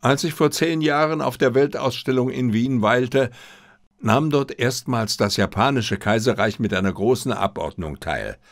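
A man's voice: mid-range voice, lively intonation, normal speed, pitched above the performer's own voice, natural voice. A man voicing an unruffled, self-assured detective in an audiobook, explaining things with playful confidence. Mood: breezy, unconcerned. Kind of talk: audiobook character dialogue.

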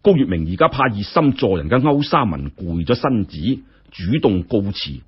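Husky, animated Cantonese storyteller man. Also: fairly low pitch, normal speed, dull audio. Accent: Hong Kong Cantonese. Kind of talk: dramatic scripted story narration.

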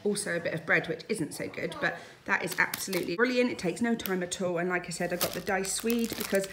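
A woman's voice: lowish voice, fast, noisy background. A woman in her thirties with a British accent, chatting informally as she narrates a casual home vlog. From United Kingdom. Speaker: family vlogger. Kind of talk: casual grocery haul narration. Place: home dining room, indoors.

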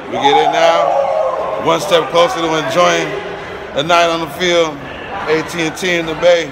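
A man's voice: on the high side, level tone, measured pace. A man in his thirties vlogging on a phone held at arm's length, chatting enthusiastically as he tours a busy ballpark. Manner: upbeat, enthusiastic and chatty with fans. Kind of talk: casual selfie-camera vlog narration. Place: outdoors and in a busy baseball stadium concourse. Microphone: phone camera at arm's length. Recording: noisy crowd and background music. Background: crowd chatter and music.

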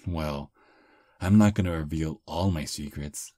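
Male VA playing a charming old vampire on a first date: very low, unhurried, soft and slightly halting, close dry ASMR mic. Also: dry recording. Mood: easygoing. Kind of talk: ASMR roleplay.

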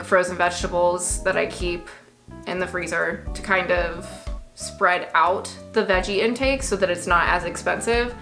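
A young woman talks through her groceries in a chatty, matter-of-fact way, dropping dry little asides. Her voice is bright and clear, recorded close to the camera in a small bedroom. Faint music plays under her. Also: mid-range voice. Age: young adult. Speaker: vlogger. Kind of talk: casual grocery haul vlog.

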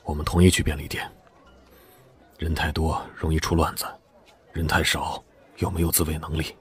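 Man acting very deep, husky, sombre survivor. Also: level tone, normal speed, soft background music, exaggerated voice. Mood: cautious. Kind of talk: audio drama acting.

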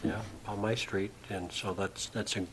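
A man's voice: fairly low pitch, little pitch movement, normal speed, background noise. A man chairing a council meeting, asking casual, joking questions from the far end of a city council chamber.

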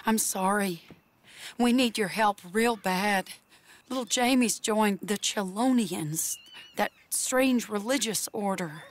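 Woman acting young genteel lady, anxious, halting, pleading, mid-pitched, video game drama. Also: lightly acted.

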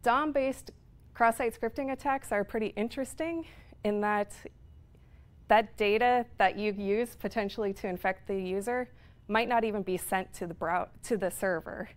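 A woman's voice: medium pitch, moderate pace. Woman, 30s, speaker at a tech talk; plain mid voice, explanatory, lots of "um" and "uh", room mic. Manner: explanatory, with frequent fillers. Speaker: conference speaker. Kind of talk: technical talk. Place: lecture room with projector screen.

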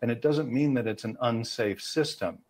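A man in his sixties with an American accent comments as a safety analyst on the news. His voice is mid-pitched and rough-edged, husky rather than smooth. He speaks over a video call from an indoor room. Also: normal speed, darkish tone. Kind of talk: expert commentary in a news interview.

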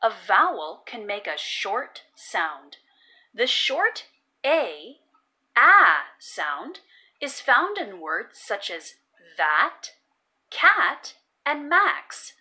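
A woman with a high, lively voice reads a children's picture book aloud. She takes it very slowly, stressing words clearly for young learners in an expressive storytelling style. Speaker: children's read-aloud host.

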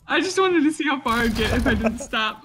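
A woman chatting casually as a partner in a co-op video game. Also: high voice. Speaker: co-op gaming partner. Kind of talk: casual chat during game play. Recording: loud game noise and clicks behind. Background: pirate video game sounds.

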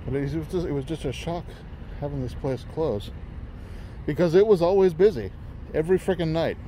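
A man's voice: fairly high pitch, normal speed, warm tone. A man vlogging casually as he walks around outside, musing aloud with lots of fillers, recorded on a handheld phone. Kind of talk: casual walking vlog narration. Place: outdoors in a parking lot by a closed restaurant. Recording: outdoor traffic noise.

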